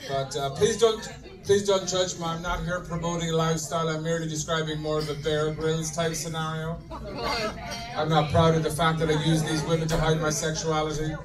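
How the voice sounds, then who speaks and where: A middle-aged man with an Irish accent doing a stand-up comedy routine in a deadpan manner, swearing freely and talking straight to the audience. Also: a little high, a little fast. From Ireland. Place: club stage in front of a laughing audience. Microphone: handheld mic, filmed from the audience. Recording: distant room recording with crowd laughter.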